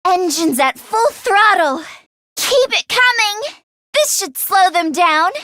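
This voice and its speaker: A woman's voice: very high-pitched, expressive, slow, bright, forceful tone, no echo, clean studio sound, exaggerated voice. A woman voicing a plucky, earnest teenage girl mechanic in a video game, speaking emphatically and easily flustered. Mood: upbeat, confident. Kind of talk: video game voice acting, battle barks.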